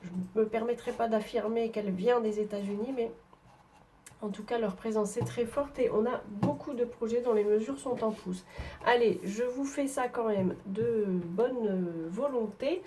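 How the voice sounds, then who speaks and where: A woman's voice: a little high, normal speed, warm tone, a little hiss or hum. A woman from northern France with a head cold, chatting through a papercraft tutorial as she works, with frequent hesitations. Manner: chatty, explains while working, frequent fillers. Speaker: papercraft demonstrator. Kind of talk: craft tutorial.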